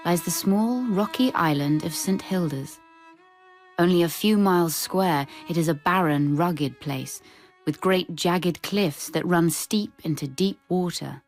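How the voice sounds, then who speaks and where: Low-pitched woman reading a story. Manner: measured, descriptive storytelling. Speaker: short story reader. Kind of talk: scripted literary narration for radio drama.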